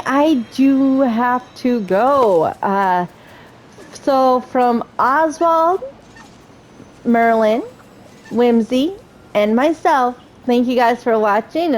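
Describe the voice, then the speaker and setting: Lively high-voiced woman gamer. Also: rough recording. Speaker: gaming video host. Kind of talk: playthrough commentary with reading aloud of game text.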